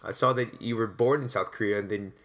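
A man's voice: fairly low pitch, fairly quick, fairly bright tone. A man hosting a sports podcast, talking in a casual, upbeat, conversational way. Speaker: podcast host and interviewer.

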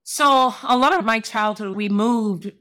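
A middle-aged woman from Sierra Leone, a lawyer and entrepreneur, speaking as a guest in a podcast interview in a measured, reflective and earnest way. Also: a little high, a bit bright.

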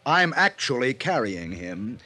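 Vain movie star, medium pitch, haughty, insistent, defiant, old radio drama. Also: male voice, big pitch swings, measured pace, sharp tone, breathy edge, exaggerated voice. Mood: indignant. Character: proud, defiant, vain male film star. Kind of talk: 1950s radio drama acting.

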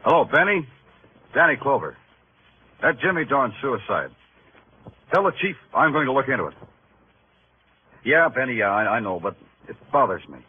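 An actor playing a world-weary, hard-boiled New York police detective in terse, streetwise 1950s radio noir style. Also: male voice, medium pitch, big pitch swings, normal speed, pauses often, breathy edge, narrow, tinny audio. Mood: terse, troubled. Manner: terse, clipped, streetwise delivery. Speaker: lead actor and narrator. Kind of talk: 1950s radio crime drama acting, noir narration. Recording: band-limited old radio recording with music.

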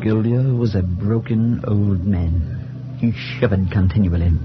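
A dull-sounding 1940s radio play carries a man acting a steady, worried friend telling an eerie tale. His voice sits low, earnest and firm, measured in the manner of old suspense drama. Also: over music, close to an everyday voice. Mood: grave, measured. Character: steady, concerned male friend narrating a supernatural tale. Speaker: narrator and friend. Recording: muffled 1940s radio recording.

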